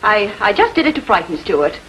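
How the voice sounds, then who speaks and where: An actress playing a defensive, haughty estranged wife in a 1940s filmed crime drama, fiercely protective and quick to take offence. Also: female voice, medium pitch, big pitch swings, a bit bright. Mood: indignant. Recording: noisy old 1940s film soundtrack.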